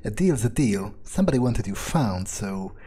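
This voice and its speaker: A man giving in-character game playthrough commentary, smug, sardonic and profane, musing haltingly into a close microphone. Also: on the low side, lively intonation, dark tone, husky. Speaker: gamer role-playing his character.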